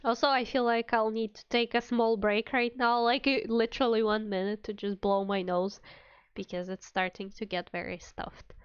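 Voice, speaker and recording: A woman's voice: fairly high pitch, steady tone, moderate pace, smooth, no echo, dull audio. A woman streaming a game live, chatting casually and playfully to viewers. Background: faint game music.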